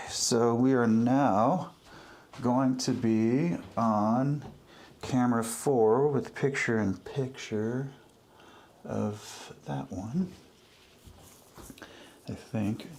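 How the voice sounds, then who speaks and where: A man narrating his work casually as he goes, step by step, in short, halting phrases. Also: medium pitch, a little mellow, a little hiss or hum. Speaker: livestreaming audio producer.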